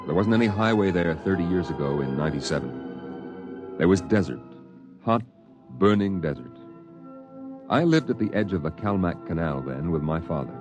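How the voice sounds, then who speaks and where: An actor narrating a 1950s radio drama in first person, reflective and measured. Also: male voice, deep voice, lively intonation, dull audio. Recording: 1950s radio with music bed.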